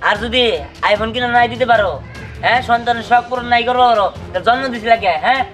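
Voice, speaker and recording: Man acting as poor stubborn boy, Bangladeshi accent, quick demanding delivery, high voice, cartoon drama. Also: fairly even intonation, fairly bright tone, soft background music. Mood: demanding, insistent. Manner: pleading, demanding. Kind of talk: cartoon comedy drama dialogue.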